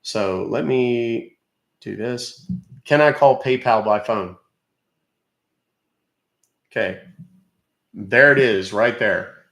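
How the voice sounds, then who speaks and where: A man's voice: medium pitch, takes it slowly, gravelly, pauses often, fairly bright tone, echoey room. A man hosting a live stream who explains things casually and talks straight to his viewers. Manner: explanatory, addressing viewers directly. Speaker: livestream host.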